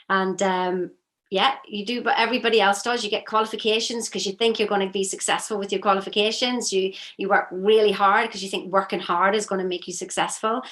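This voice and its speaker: A woman in her fifties from Northern Ireland, with a Northern Irish accent, telling her story as an interview guest in a measured, reflective way. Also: medium pitch, a bit bright. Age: 50s. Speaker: guest, business coach. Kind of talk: conversational interview.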